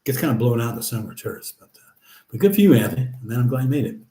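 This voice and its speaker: Relaxed middle-aged man, echoey room. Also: mid-range voice, rapid-fire. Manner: relaxed, chatty, reads viewer comments aloud and replies. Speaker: livestream host answering viewer chat. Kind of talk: casual live chat.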